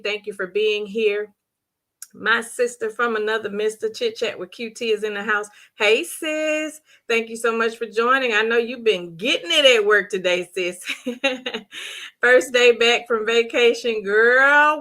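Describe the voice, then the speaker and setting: Hoarse, bubbly woman live-streaming. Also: on the high side, unhurried, pushed and bright. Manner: bubbly, repetitive greetings. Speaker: livestream host. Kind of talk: live-stream chat greeting viewers.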